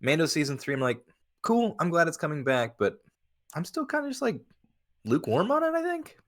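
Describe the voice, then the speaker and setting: A man co-hosting a podcast, chatting casually and giving opinions in a halting, blunt way with some swearing. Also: on the high side, very animated, fairly bright tone. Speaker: podcast co-host.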